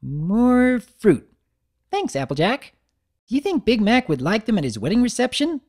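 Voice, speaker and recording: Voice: mid-range voice, huge pitch swings, moderate pace, a little mellow, pitched above the performer's own voice. A narrator voicing a bubbly, hyperactive young female cartoon pony, gushing and sing-song. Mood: perky.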